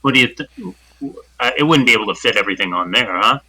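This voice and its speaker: Rpg player dude, super bright sharp voice, kinda unsure of himself, lots of restarts. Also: male voice, fairly low pitch, fast talker, slightly rough, dry recording, rough recording. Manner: hesitant, self-deprecating. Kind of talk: casual game chat.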